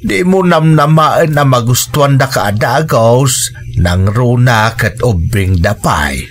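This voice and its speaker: A slow, gentle old father. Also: medium pitch, animated, soft background music. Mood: concerned, solemn. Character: gentle, concerned old father giving his blessing. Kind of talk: Ilocano radio drama acting.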